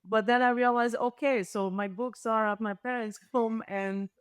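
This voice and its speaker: A woman's voice: on the high side, fairly even intonation, moderate pace, clean tone, dry recording, clean studio sound. A woman with a Balkan accent, a native Serbo-Croatian speaker, talking as a thoughtful, hesitant researcher in a panel discussion. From former Yugoslavia. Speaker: panel guest, literature researcher.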